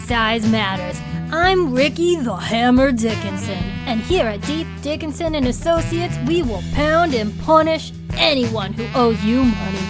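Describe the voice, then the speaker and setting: Woman doing a brash lawyer commercial over loud music. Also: on the high side, lively intonation, unhurried, voice pushed down. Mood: brash, salesy. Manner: punchy, salesy. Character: brash, innuendo-laden fantasy injury lawyer. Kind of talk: parody commercial pitch.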